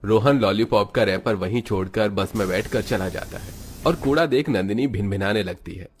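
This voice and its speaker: A man's voice: fairly low pitch, moderate pace, slight background noise. A man working as a storyteller, delivering scripted story narration.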